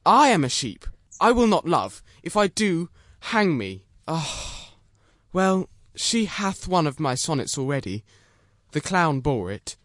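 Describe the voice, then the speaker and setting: An actor playing a lovesick young nobleman in a Shakespeare performance, theatrical and animated. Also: mid-range voice, no room sound, clean studio sound. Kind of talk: Shakespeare stage acting.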